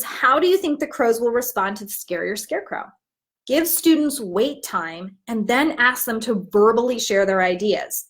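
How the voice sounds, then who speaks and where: Expressive woman teacher with very lively intonation. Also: on the high side. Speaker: teacher trainer. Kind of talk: instructional lesson alternating with expressive storybook read-aloud.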